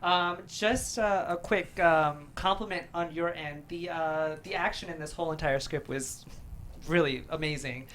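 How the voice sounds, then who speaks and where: A man's voice: fairly high pitch, measured pace, a bit bright. Hesitant man with faint background noise. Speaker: actor on a table read panel. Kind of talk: casual panel comment.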